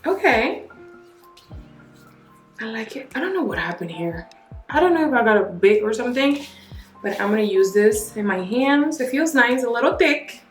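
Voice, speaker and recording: A woman's voice: a little high, moderate pace, long pauses, faint music behind. Chatty young woman in an echoey bathroom. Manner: chatty, candid, animated asides. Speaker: beauty vlogger. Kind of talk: casual product review. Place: home bathroom, indoors.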